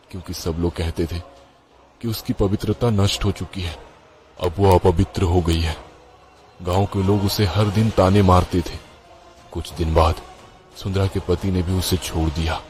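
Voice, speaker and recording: Low-voiced man narrating a horror story. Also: darkish tone. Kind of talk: dramatic first-person horror narration.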